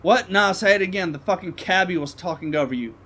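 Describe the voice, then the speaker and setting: A man plays a crude, arrogant rich passenger, brash and profane in every line. His voice sits high for a man. He sounds cocky and impatient. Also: fast talker, bright, forceful tone, heavily acted. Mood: irritated. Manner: brash and profane, then irritable.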